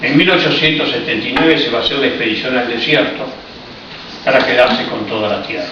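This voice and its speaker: A man's voice: mid-range voice, a little fast, recorded from a distance. Argentine man lecturing in an echoey hall. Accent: Argentine Spanish. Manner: reflective, explanatory, with ironic asides. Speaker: speaker giving a public talk. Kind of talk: history lecture. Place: cultural hall in Paris. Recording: echoey, muffled, noisy room recording from afar.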